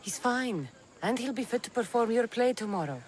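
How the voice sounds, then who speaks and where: A woman's voice: a little high, animated, moderate pace, darkish tone, a little hiss or hum, close to an everyday voice. A woman playing a terse, guarded, determined mercenary in a video game set in ancient Greece. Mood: dry. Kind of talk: video game cutscene acting.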